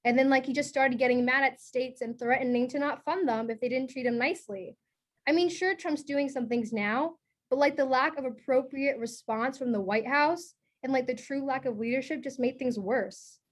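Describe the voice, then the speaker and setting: A woman's voice: high-pitched, moderate pace. A woman acting in a documentary play as an outspoken, indignant critic, speaking in a casual, conversational way. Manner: opinionated, filler-heavy casual speech with "like". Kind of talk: documentary theater dialogue, conversational.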